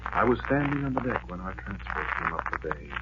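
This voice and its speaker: Deep-voiced, haunted war veteran, old radio drama. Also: male voice, expressive. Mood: wistful, searching. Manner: brooding, poetic, deliberate dramatic delivery. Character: haunted, lovelorn war veteran, weary office worker. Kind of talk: 1940s radio drama acting, intimate first-person narration. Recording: noisy, muffled old radio recording.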